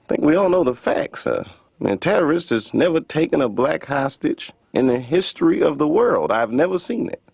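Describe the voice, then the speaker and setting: A man's voice: fairly high pitch, sing-song, a little fast, gravelly, darkish tone, slightly rough, no room sound, exaggerated voice. A man playing a wry therapy patient in a cartoon, telling his worries as an emphatic, conversational stand-up routine. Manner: emphatic, conversational comic storytelling. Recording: dull, lo-fi audio.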